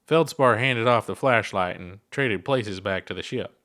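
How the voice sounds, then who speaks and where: A man narrating a story aloud in an expressive storybook style, as the author reading his own work. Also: on the low side, a little slow.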